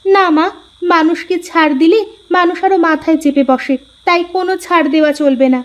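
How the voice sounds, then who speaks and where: A woman's voice: very high-pitched, brisk. A woman voicing a stubborn, aggrieved young daughter-in-law in a cartoon, complaining and argumentative. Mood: indignant. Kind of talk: cartoon story dubbing.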